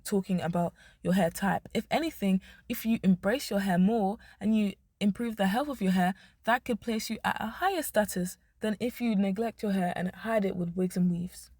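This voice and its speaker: A young woman vlogger, hoarse, giving blunt, emphatic commentary to the camera. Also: medium pitch, normal speed, few pauses, darkish tone, no room sound, clean studio sound. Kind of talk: opinionated talking-head commentary.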